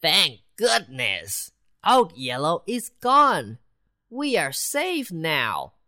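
A woman voicing a small, lively city mouse in a children's storybook, very high and squeaky. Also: very slow, exaggerated voice. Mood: cheerful. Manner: very high, excited, bossy whisper-to-squeal.

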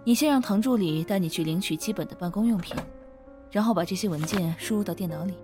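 A woman acting a composed, shrewd, mature finance director with a calm, cool manner in a modern audio drama. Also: medium pitch, fast talker, over music, natural voice. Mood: businesslike, reflective.

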